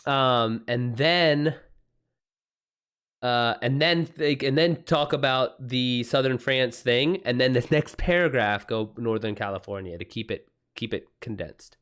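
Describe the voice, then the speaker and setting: Male livestream host and writer, medium pitch, informal unscripted chat, thinks out loud, bro-ish slang. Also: a little slow, clear, resonant tone. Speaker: livestream host and author giving writing advice.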